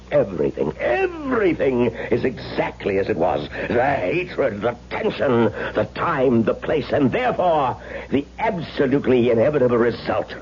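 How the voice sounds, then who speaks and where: An actor playing a pompous, egomaniacal film director in a 1970s radio drama, declaiming theatrically and repeating phrases for effect. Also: male voice, mid-range voice. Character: pompous, egomaniacal male film director, grandiose and self-important. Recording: muffled, noisy vintage radio broadcast recording.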